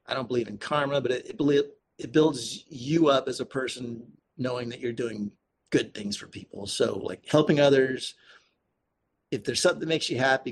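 A man's voice: medium pitch, creaky. Earnest man talking casually over background noise. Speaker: podcast guest, activist and author. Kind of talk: casual conversational interview answers.

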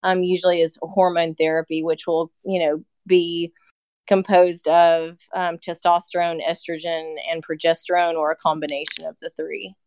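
A woman in her late thirties talks through treatments as a podcast guest, filling her sentences with "you know" and "like". Her voice is smooth and clear, recorded close and dry with no room sound. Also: lowish voice, little pitch movement, normal speed, a little mellow, no room sound. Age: 30s. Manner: chatty, explains with many fillers and "you know". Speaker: nurse practitioner guest. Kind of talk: casual podcast interview.

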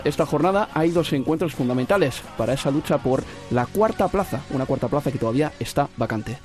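A man's voice: fairly high pitch, animated, fast talker, over music. Upbeat man hosting a football show. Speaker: host. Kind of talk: sports radio talk.

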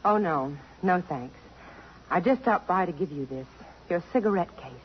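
A woman's voice: lowish voice, animated, normal speed, close to an everyday voice. An actress playing a poised, proud young career woman in a 1950s radio drama, speaking in an earnest, refined, emphatic way. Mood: cool, hurt. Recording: muffled, noisy vintage radio broadcast.

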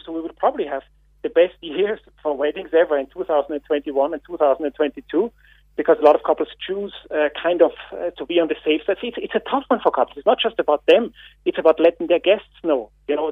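A man with an Austrian accent speaks earnestly on a call-in, often doubling his words and filling pauses with "uh". His voice sits a little high and comes through a thin, tinny phone line with no room sound at all. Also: husky, no echo. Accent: Austrian German. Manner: earnest, repeats words, many fillers. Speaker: wedding industry guest. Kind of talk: radio interview by phone. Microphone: telephone.

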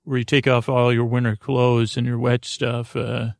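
Man, sleep podcast host, creaky voice, mumbly and rambling, dry close sound. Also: medium pitch, little pitch movement, dry recording. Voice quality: creaky, mumbly, lots of filler words. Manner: slow, meandering, soothing and self-deprecating. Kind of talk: rambling bedtime podcast talk.

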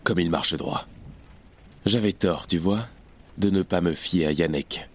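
Actor for austere, unbending male revolutionary in a radio play; deep husky voice, grave delivery. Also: measured pace. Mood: grim, reflective. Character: harsh, unbelieving, hard-line male revolutionary. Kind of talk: audio drama acting of a stage play.

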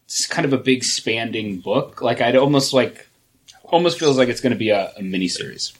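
A man co-hosting a casual podcast who sounds enthusiastic and rattles off lists quickly. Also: mid-range voice.

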